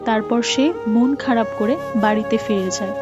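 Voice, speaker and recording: High-voiced woman narrating a moral story. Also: normal speed. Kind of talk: scripted story narration for a cartoon. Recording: muffled audio with faint music behind.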